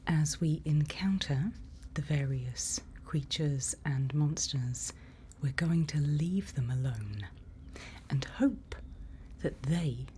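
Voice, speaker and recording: Female, low soft whisper for ASMR roleplay; slow, reassuring guide, faint ambient noise. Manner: gentle, unhurried, reassuring instruction. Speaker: ASMR roleplay performer playing a night watch guide.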